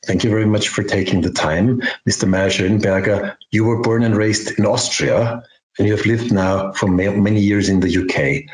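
An older man in his sixties with a low voice hosts an interview through a headset on a video call. He speaks clearly and deliberately. The sound carries a roomy echo. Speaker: interviewer and host. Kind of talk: scripted intro and interview questions.

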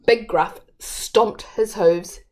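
A woman's voice: medium pitch, extremely slow, darkish tone. A woman in her thirties reading a children's story aloud expressively, as a storyteller at home. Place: small room at home.

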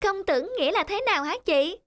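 A woman's voice: very high voice, animated, fast, no room sound. A woman voicing an innocent, friendly little boy in a cartoon drama, with a childlike, eager, curious manner. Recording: loud children's music behind.

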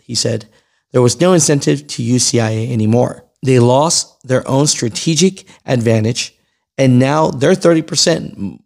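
A man's voice: medium pitch, creaky, darkish tone. A man in his thirties hosting an informal news report, conversational and emphatic, reading and commenting into a close microphone. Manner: conversational, emphatic, often tags points with "right" and "you know". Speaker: host of a trucking news channel. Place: small furnished room at home, indoors. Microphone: close broadcast microphone on a boom arm.

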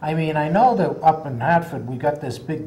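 Older male host, fairly high voice, from Boston, repetitive, interjecting, echoey room. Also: expressive, brisk, a little mellow. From Boston area, United States. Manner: repeats himself, interjecting. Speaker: talk show host. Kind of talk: casual chat. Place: small TV studio, round table. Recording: roomy studio, faint noise.

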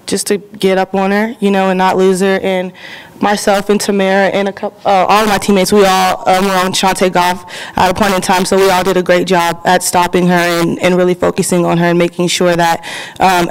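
A woman's voice: on the low side, completely flat delivery, brisk, smooth, poor audio. A young woman, a college basketball player, answering plainly at a press conference. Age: young adult. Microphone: table microphone.

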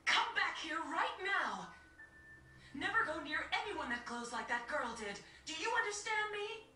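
A woman playing a stern, scolding village mother in a video game, with a sharp, commanding way of talking. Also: very high-pitched, big pitch swings, a little slow, soft background music, full character voice. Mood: stern, alarmed. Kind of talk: English video game cutscene acting. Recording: distant, echoey TV game capture.

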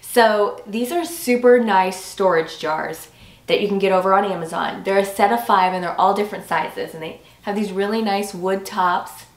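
A woman in her forties talks casually to her viewers about home decor products. Her voice is medium in pitch and a little hoarse, with a chatty, enthusiastic delivery. The sound is roomy, as if recorded indoors a few feet from the microphone. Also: normal speed. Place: small furnished rooms at home, indoors. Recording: roomy echo.

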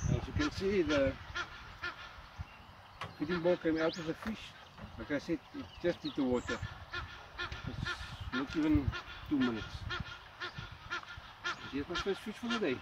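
A man's voice: on the high side, measured pace, gravelly, pauses often. South African man narrating outdoors. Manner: relaxed, explains step by step with fillers. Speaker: fishing vlogger. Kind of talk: casual outdoor fishing commentary. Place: outdoors at a quarry dam. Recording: dull lo-fi with loud outdoor noise.

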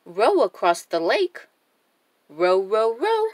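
A woman hosting a children's story time, reading a picture book aloud expressively with deliberate phrasing. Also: mid-range voice, sing-song, dry recording. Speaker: children's storybook reader and channel host.

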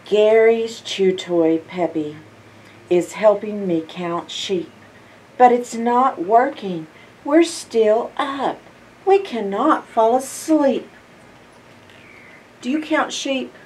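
An older woman reading a picture book aloud to young children in an expressive, drawn-out storytime manner. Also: on the high side, distant mic, poor audio. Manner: expressive, drawn-out phrases with hushed asides and questions to listeners. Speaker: library storytime reader. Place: indoor library in front of bookshelves.

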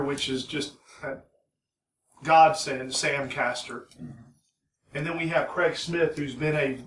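A man in his forties presenting at a home meeting, energetic and emphatic as he introduces the next speaker. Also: fairly high pitch, a little hiss or hum. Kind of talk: enthusiastic presentation. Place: living room at a home meeting. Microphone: far camera. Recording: echoey room from far off.

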